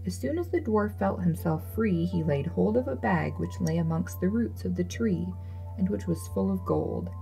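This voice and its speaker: A woman storyteller reads a fairy tale from a script. Her voice sits on the low side, soft-edged and dark, with noticeable creak. Music plays behind her. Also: very animated, moderate pace, poor audio.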